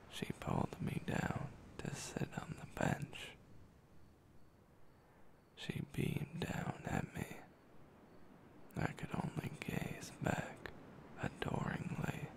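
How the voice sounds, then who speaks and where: A man reading a romantic story aloud in a slow, intimate ASMR whisper. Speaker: ASMR creator.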